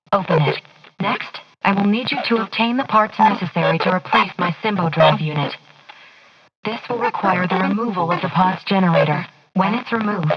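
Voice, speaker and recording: An actress voicing a loyal, selfless female android crew officer in a video game, speaking in a measured, formal and clinical way. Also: mid-range voice, background noise, lightly acted.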